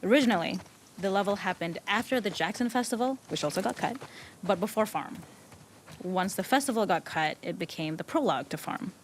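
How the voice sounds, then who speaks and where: A woman's voice: mid-range voice, lively intonation, brisk. Woman giving developer commentary, some background noise. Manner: explanatory. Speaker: game level designer.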